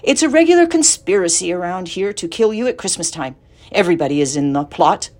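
A woman's voice: on the low side, animated, a little fast, a little mellow. A woman voicing a gossipy old female sheep in a storybook, matter-of-fact, snappish and world-weary. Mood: grimly blunt.

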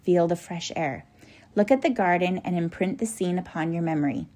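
A woman voicing a kindly, grave male professor in a children's storybook, speaking in an earnest, measured, instructing way. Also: mid-range voice, expressive. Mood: calm, instructing.

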